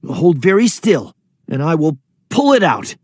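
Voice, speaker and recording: A man's voice: fairly high pitch, very animated, measured pace, no echo, clean studio sound, pitched above the performer's own voice. A man playing a kind, earnest runaway slave in a children's readers theatre, with an exclamatory delivery. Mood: helpful. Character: Androcles, kind runaway slave, shaky but helpful.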